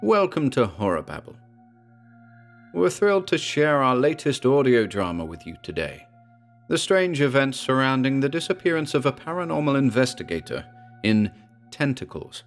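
A man's voice: medium pitch, sing-song, normal speed, soft background music. A man reading a scripted introduction as host of a horror audio drama channel.

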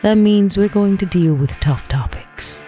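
A woman voicing a sultry female vampire in a slow, intimate, breathy podcast disclaimer. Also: medium pitch, dark tone, faint music behind, natural voice. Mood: intimate, ominous.

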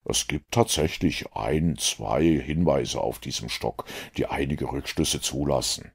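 A man voicing a calm, superior detective in an audiobook, measured and dryly condescending. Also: very deep voice, vocal fry, breathy edge, pitched below the performer's own voice, full character voice.

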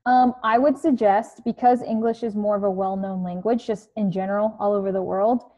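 A woman's voice: mid-range voice, steady tone, a little fast, warm tone, muffled recording. A young woman coaching students on a video call from her living room, chatty with many fillers. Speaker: career coach. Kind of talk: casual online presentation. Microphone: earbud headset on video call.

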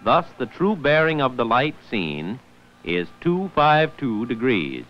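A man's voice: mid-range voice, very animated, takes it slowly. A man narrating a scripted 1940s instructional film in a formal, precise manner, on an old muffled film soundtrack. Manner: formal, precise enunciation of figures.

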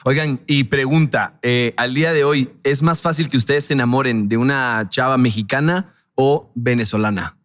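A man's voice: mid-range voice, normal speed, hardly any pauses. Man hosting a studio podcast. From Guatemala. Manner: loud, sing-song hype intro, then relaxed chat. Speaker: podcast host. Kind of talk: energetic podcast chat. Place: studio set with brick wall. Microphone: studio mic on stand.

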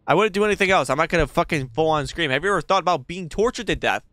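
Jumpy young man, bright animated voice. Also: a little high, rapid-fire, no echo. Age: young adult. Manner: jumpy, exclaiming, slangy. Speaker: gaming video host. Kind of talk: casual, profane horror game reaction commentary. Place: small indoor gaming room. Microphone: close desk microphone, headset on.

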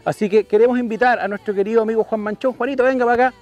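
A man in his forties hosting a TV show outdoors at a ranch, lively and welcoming. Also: very high voice, racing, lo-fi sound, faint music behind. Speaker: TV show host. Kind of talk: enthusiastic presenter. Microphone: lapel.

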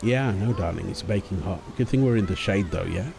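Low-voiced doting young husband played by a man. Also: fairly quick, creaky, over music. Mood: easygoing, caring. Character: doting young husband and new father, tender, playful. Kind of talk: ASMR boyfriend roleplay.

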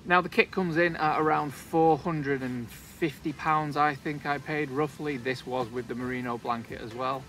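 A man's voice: a little high, moderate pace, hardly any pauses, slight background noise. A man, casually reviewing outdoor gear while sitting outdoors in a wood, talking to a camera on a tripod. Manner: chatty, relaxed, light joking asides. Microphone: camera on a tripod, also handheld selfie while walking.

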